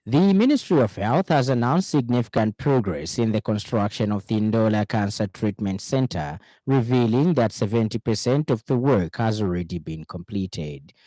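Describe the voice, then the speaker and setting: Low, mellow male newsreader. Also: no room sound. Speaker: radio news presenter. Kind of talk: scripted news bulletin reading.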